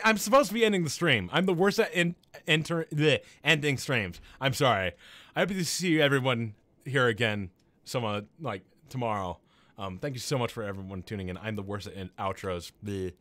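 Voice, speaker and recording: Young guy streaming, voice going hoarse, rambles and restarts a lot, mid pitch. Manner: rambling, restarts often, talks loud and a lot. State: throat strained, losing his voice. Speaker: game streamer. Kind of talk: casual chat with live chat viewers.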